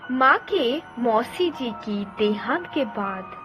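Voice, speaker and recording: A woman narrates a ghost story as a dramatic storyteller. Her high voice moves with lively swings at an unhurried pace, over clear background music.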